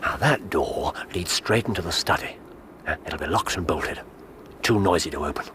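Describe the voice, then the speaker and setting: A low, urbane English detective. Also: male voice, normal speed, bright, forceful tone, a little hiss or hum. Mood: hushed, assured. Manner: theatrical, urbane, formal diction. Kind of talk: radio drama acting.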